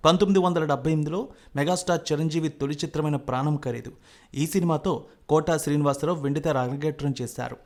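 A man's voice: on the high side, fast. A man presenting scripted news narration in a formal, emphatic delivery.

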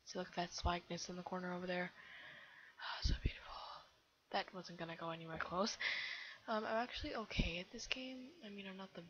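A teenage girl with a somewhat low voice chatting casually over gameplay, rambling with lots of fillers. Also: fairly even intonation, a little fast, a bit bright. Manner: rambling, giggly, self-chattering with many fillers. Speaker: game video creator. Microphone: computer mic. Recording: dull lo-fi computer mic.

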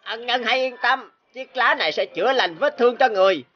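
Gentle, solemn magic snake voiced by a woman. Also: on the high side, fairly quick, highs cut off, lightly acted. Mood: reassuring, solemn. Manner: formal, reassuring. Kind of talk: cartoon folk-tale dialogue acting. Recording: music under the voice.

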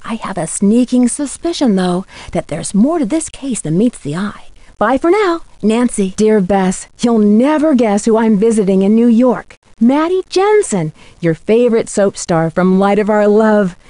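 A woman's voice: medium pitch, animated, a little slow, mellow, dry recording, close to an everyday voice. A young American woman voicing an inquisitive, teasing amateur detective in a video game, in scripted lines. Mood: chatty, intrigued. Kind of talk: scripted game dialogue and letter narration.

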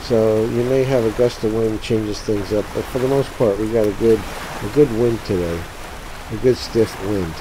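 A man presenting an unscripted how-to commentary, halting as he thinks aloud. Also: on the low side. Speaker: tutorial presenter. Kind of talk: unscripted how-to commentary over a sailing game. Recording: steady sea and water noise behind. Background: sailing game sounds of boat and water.

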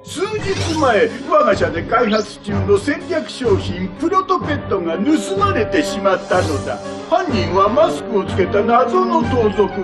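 A man voicing a pompous, stammering, excitable elderly company founder in a Japanese game dub. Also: high-pitched, a little slow, hardly any pauses, over loud music. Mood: pompous, urgent. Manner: pompous, stammering, piles up synonyms.

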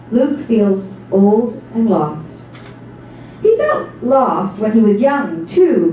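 Woman narrating a story on stage, slightly mellow voice, big echo, far from mic. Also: mid-range voice. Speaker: stage narrator reading a text. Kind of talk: dramatic reading of literary narration. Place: small indoor theatre stage before an audience. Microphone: distant camera microphone. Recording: echoey hall, distant microphone, faint noise.

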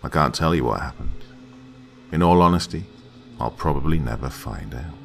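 A man with a deep, gravelly voice reading a horror story aloud in a slow, dramatic way, dropping to hushed whispers at tense moments. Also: soft background music. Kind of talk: scripted horror narration.

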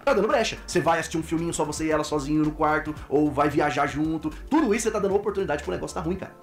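A man's voice: fairly high pitch, very fast, slight background noise. A man with a Brazilian accent giving earnest, colloquial advice to camera. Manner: colloquial, instructive. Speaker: church advice-video presenter. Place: decorated indoor video studio.

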